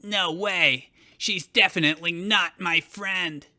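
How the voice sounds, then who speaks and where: Proud, vengeful male hero, acted by a man, very forceful high voice, scornful delivery for fan fiction theatre. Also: slow, full character voice. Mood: incredulous, scornful. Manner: scornful, declamatory. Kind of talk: dramatic fan fiction reading.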